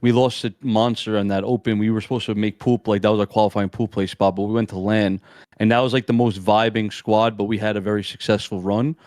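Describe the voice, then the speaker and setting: A young man in casual interview chat, talking in a strong monotone, laid-back and slangy, rambling with restarts. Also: fairly low pitch, brisk, no room sound, studio quality. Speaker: amateur esports player, guest.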